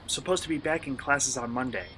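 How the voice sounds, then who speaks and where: A man playing an eager, polite college student and hobby vlogger in a radio play, excitable and earnest. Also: mid-range voice, quick pace, slight background noise, lightly acted, low-quality recording. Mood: pleading, earnest. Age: young adult.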